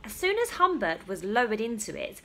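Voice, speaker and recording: A woman reading a children's story aloud with dramatic, emphatic storytelling and drawn-out words. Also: fairly high pitch, very animated, pushed and bright. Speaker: storybook reader. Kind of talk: expressive children's story narration.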